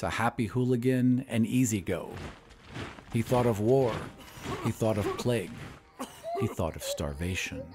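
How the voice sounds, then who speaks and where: A man narrating an audio drama in a measured, expressive storytelling voice, and hosting the show. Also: medium pitch. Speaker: narrator and producer.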